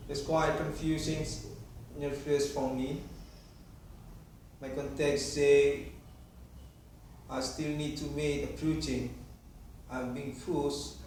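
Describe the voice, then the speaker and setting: A man from Indonesia with an Indonesian accent, giving a rambling improvised monologue in halting, disjointed English phrasing. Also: medium pitch, a little mellow. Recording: very echoey room, distant mic, clear noise.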